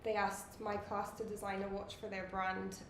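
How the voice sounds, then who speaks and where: A woman's voice: medium pitch, little pitch movement, normal speed, echoey room, slight background noise. A Scottish woman in her thirties, a watch designer answering interview questions in long, thoughtful explanations. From Scotland.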